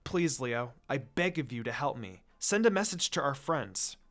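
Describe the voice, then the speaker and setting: A man's voice: medium pitch, big pitch swings, normal speed, a bit bright, faint music behind. A man voicing an earnest, devoted teenage girl wizard in a story reading, with a sincere, pleading manner.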